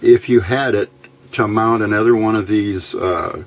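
Slow, low-voiced man explaining electronics. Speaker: electronics hobbyist presenter. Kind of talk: casual technical tutorial, explaining a circuit. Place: indoors at a workbench.

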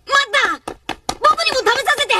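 A woman's voice: extremely high pitch, fairly quick, far from the mic, heavy background noise. A woman voicing an earnest, defiant young boy cook in a Japanese anime, speaking with fervent emphasis. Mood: startled, insistent.

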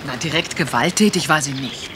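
An actress playing a reflective, fair-minded partner in detection in a German radio crime drama. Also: female voice, low voice, brisk, lightly acted. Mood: pensive. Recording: clearly noisy retro radio play recording.

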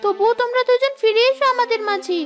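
A woman's voice: very high-pitched, fast talker, over loud music. A woman voicing a frail, pleading little girl in a cartoon, plaintive and childlike. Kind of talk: Bengali cartoon voice acting.

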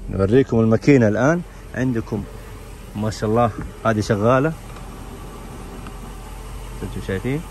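Fast-talking man, some background noise. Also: fairly low pitch, a little mellow. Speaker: car auction presenter. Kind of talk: informal walk-around car commentary. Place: large indoor car showroom and workshop.

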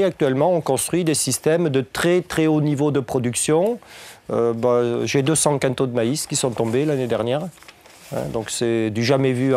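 A man's voice: medium pitch, darkish tone, no room sound. Man, 40s, light southwestern French accent, workshop trainer, chatty, filler-heavy, dry close lapel sound. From southwest France. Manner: conversational, many fillers and tag questions. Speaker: farm trainer. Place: meeting room with audience. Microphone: lapel mic.

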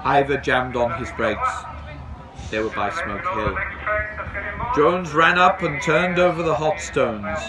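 A man's voice: on the high side, very animated, slow pace, a loud crowd, rough recording. A middle-aged man reading a children's storybook aloud outdoors. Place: on a bench outdoors. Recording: outdoors with background bustle.

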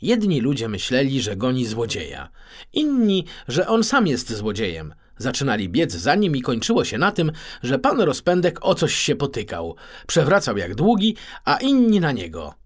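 A man narrating a children's story in an expressive, theatrical storytelling manner. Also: medium pitch, moderate pace. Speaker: audiobook narrator.

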